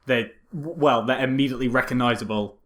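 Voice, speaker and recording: A man's voice: medium pitch, fairly quick, a bit bright. A man co-hosting a podcast with casual comedic film commentary, often stammering and repeating the starts of phrases. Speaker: podcast co-host.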